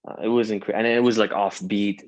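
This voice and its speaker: A man's voice: fairly low pitch, fairly even intonation, brisk, no echo. Rambling young man, dry sound. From Iran. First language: Farsi. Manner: rambling, many fillers and restarts. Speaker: rapper guest. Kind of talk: casual interview chat.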